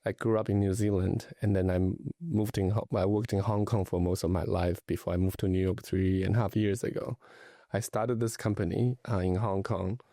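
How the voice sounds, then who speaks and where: Gravelly low-voiced man from Taiwan. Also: little pitch movement, moderate pace, mellow, no echo, studio quality. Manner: hesitant, many fillers and restarts. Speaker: luxury travel and lifestyle advisor, guest. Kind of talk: casual conversational interview.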